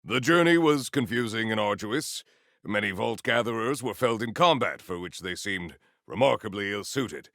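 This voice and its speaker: A man playing a solemn, proud warrior hunter in a video game, speaking in formal, stilted, declamatory sentences with a gravelly low voice. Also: expressive, moderate pace, a bit bright, no echo, clean studio sound. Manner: formal, stilted, declamatory speech without contractions. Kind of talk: video game character acting.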